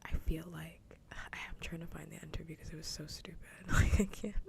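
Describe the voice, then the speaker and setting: Soft, low, half-whispering woman streamer. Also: monotone, fast talker, creaky. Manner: casual, rambling, chatting with viewers. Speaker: livestream host. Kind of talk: soft-spoken ASMR chat stream. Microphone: close ASMR microphone.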